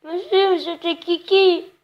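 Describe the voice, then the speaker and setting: Prank-call comedy: naive, plaintive woman acted by a woman, high voice, childlike, stubbornly insistent, rambling, heard on the phone. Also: fairly even intonation, a little slow, dull audio. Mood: wistful, rambling. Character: naive, plaintive woman grieving her little pet dog.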